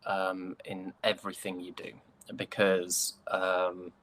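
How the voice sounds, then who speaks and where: A man's voice: deep voice, completely flat delivery, takes it slowly, fairly bright tone. A British man chatting casually as an interview guest, an actor with a joking, self-deprecating way of talking. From United Kingdom.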